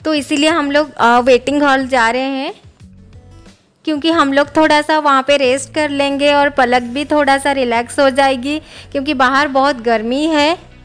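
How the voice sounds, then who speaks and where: A woman's voice: high voice, steady tone, normal speed, a bit bright, soft background music. A woman in her thirties chatting casually in a family vlog, mixing in English words, filmed on a phone held at arm's length. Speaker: family vlogger. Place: home and on the road, train station.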